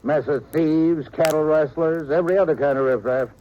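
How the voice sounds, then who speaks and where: An actor playing a folksy, evasive small-town sheriff in an old radio western, blustering and hedging with nervous geniality. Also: male voice, on the high side, moderate pace, close to an everyday voice. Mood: evasive, defensive. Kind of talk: 1950s radio western acting. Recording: dull, slightly noisy vintage radio recording.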